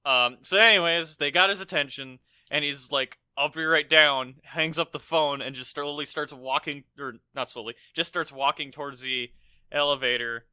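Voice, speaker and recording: Bright, sharp-voiced American man, casually sweary. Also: fairly high pitch, fairly even intonation, moderate pace, clear, resonant tone, dry recording. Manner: profane, rambling storytelling. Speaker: podcast co-host. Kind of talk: casual film review chat.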